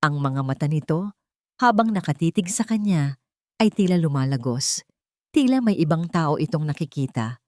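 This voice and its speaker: A woman's voice: low voice, lively intonation, moderate pace, darkish tone, no room sound, clean studio sound. A woman reading a scripted romantic drama as a storyteller.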